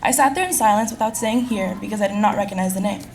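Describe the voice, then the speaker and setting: A teenage girl, a high school senior, earnestly delivering a prepared personal speech at a school event. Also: a little hiss or hum. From California. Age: teens. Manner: earnest, reading from a script at a quick clip.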